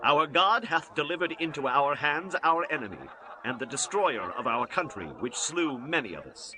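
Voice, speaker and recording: Casting: triumphant Philistine reveller, swinging intonation, scripture drama, noisy backdrop. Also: male voice, on the high side, very animated, moderate pace. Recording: clear background noise.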